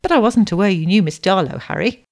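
Woman acting a blustering, grumbling old stout gentleman. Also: medium pitch, expressive, fast, close to an everyday voice. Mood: blustering surprise. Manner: exclaiming, grumbling. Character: stout elderly male stockbroker, blustering and grumbling.

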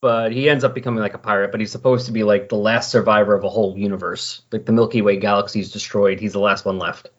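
A man with a slightly raspy voice talks in a loose, conversational way. He rambles casually, like someone co-commentating on a hobby podcast. The recording is a little dull and lo-fi. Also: on the low side, steady tone, quick pace, few pauses. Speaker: podcast co-commentator on comic books. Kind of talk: casual chat, recounting comic book plots.

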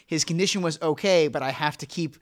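Man, podcast host, casual movie chat, playful, quick, slightly high voice. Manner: quick, playful, leads the game.

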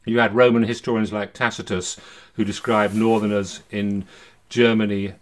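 A man with a fairly low voice hosts a discussion. He explains things in an erudite, conversational manner, moving between his own commentary and quoted passages. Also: little pitch movement, moderate pace. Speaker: podcast host.

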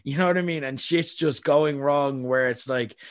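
A man's voice: mid-range voice, moderate pace, clear, resonant tone. A young adult man, hosting a podcast and giving rambling, opinionated takes. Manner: rambling, opinionated, frequent fillers. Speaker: podcast host. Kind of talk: casual chat.

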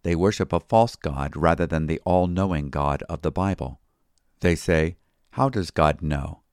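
A man reading a scripted devotional commentary as a pastor, in a measured, formal way. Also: deep voice, darkish tone, smooth, dry recording, clean studio sound. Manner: measured, formal, citing chapter and verse.

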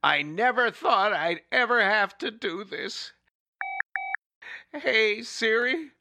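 Museum guard, radio play, anxious, earnest, slow delivery, crisp creaky voice. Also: female voice, fairly high pitch, lively intonation, pushed and bright, heavily acted. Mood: grave, anxious.

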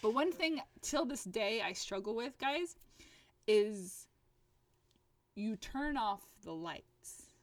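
A woman's voice: on the high side, animated, takes it slowly, pauses often, dry recording. A young woman from Libya, Arabic speaker, chatting casually and animatedly and dropping in Arabic and Italian words. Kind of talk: casual chat.

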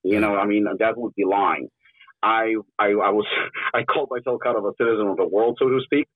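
A man's voice: fairly low pitch, fairly even intonation, brisk, breathy edge, dry recording. A man in his forties from Ukraine with a mixed, Russian-tinged accent, talking candidly and bluntly as a podcast guest, swearing freely. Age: 40s. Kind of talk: casual podcast interview. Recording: tinny phone-like line.

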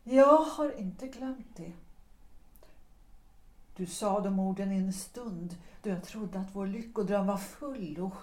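An actress playing a melancholy, composed married woman who speaks in measured, reflective tones, recorded in an echoey room. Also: medium pitch, a little mellow, close to an everyday voice.

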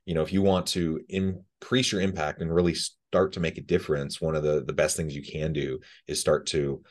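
A man with a low-pitched voice speaks as a podcast host. His delivery is conversational, as in an interview with a guest. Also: little pitch movement, normal speed.

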